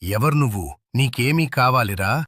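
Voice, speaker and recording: A man's voice: medium pitch, animated, unhurried, a bit bright, no room sound, studio quality. A man playing a poor, crafty village woodcutter and husband in a cartoon moral tale, earnest and plain-spoken. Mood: alarmed.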